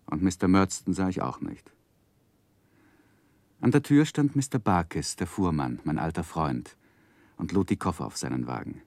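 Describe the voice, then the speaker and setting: A narrator looking back on childhood in a vintage radio play. His very low voice is dry and close, with reflective, literary phrasing. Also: expressive, moderate pace, pauses often, a little mellow, dry recording. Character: grown man recalling his sorrowful boyhood. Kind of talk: 1960s German radio drama narration.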